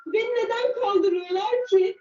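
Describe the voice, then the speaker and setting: A woman's voice: extremely high pitch, measured pace, darkish tone, a little hiss or hum. A woman voicing a grumpy, miserable little boy turtle who sighs and complains in a children's fairy tale. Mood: whiny, sleepy. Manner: sighing, complaining. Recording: muffled, roomy, far from the mic.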